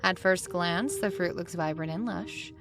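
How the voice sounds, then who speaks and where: A woman playing a demanding, thoughtful high school art teacher in an audio drama, speaking in a deliberate, instructive way. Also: over music. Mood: earnest, instructive.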